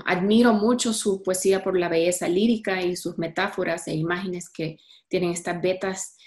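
A woman in her thirties presenting a literature session on a video call, halting with fillers and restarts. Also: lowish voice. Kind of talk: casual online talk.

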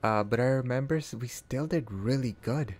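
A man's voice: medium pitch, moderate pace, a little mellow. A man from Canada whose first language is not English, chatting casually with his live-stream viewers while he plays, answering chat and laughing often.

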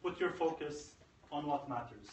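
A man's voice: fairly high pitch, animated, normal speed. A man in his thirties giving a motivational tech-conference talk, emphatic and repeating phrases for effect. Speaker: conference speaker. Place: conference room. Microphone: far camera. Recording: echoey room, far from camera, faint noise.

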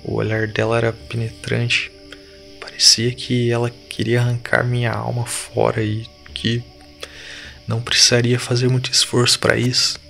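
An actor playing a shaken, defiant village boy in an audio drama, speaking in urgent, halting slang. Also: medium pitch, completely flat delivery, gravelly, pushed and bright, soft background music. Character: shaken, defiant village boy urging his friends to flee.

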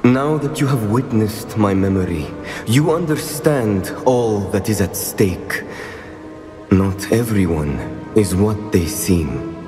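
A man's voice: fairly low pitch, expressive, slow pace, darkish tone, faint music behind. An actor playing a grave male wizard in an enchanted portrait for a video game, in long reverb. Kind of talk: cinematic video game acting.

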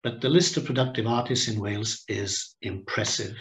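A man in his sixties giving a reflective seminar talk over a video call from home, pausing and restarting as he thinks. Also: fairly low pitch, fairly bright tone. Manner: reflective, with many pauses and restarts. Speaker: guest speaker. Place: home room, indoors. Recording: roomy echo on a video call.

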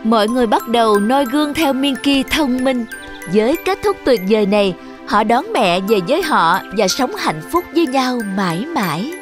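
A woman narrates a scripted fairy tale for young children. Her voice sits somewhat high. Soft music plays behind the narration. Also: lively intonation, moderate pace.

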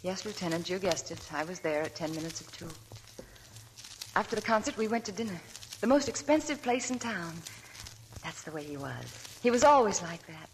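A woman's voice: fairly low pitch, lively intonation, moderate pace, noisy background. An actress playing a shy young working woman who narrates her past, in 1940s radio acting. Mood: reflective. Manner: shy, soft-spoken. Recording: old radio broadcast with faint music.

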